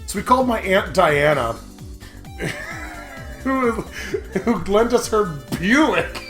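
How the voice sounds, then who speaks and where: A young man hosting a gaming show, chatting casually and telling animated, jokey stories with frequent laughter. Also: high voice, takes it slowly, soft background music. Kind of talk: casual let's-play commentary with dramatic read-alouds.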